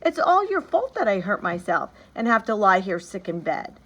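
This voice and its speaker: A woman voicing a sick little girl who cries out high and loud. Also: big pitch swings, moderate pace, muffled recording. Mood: accusing.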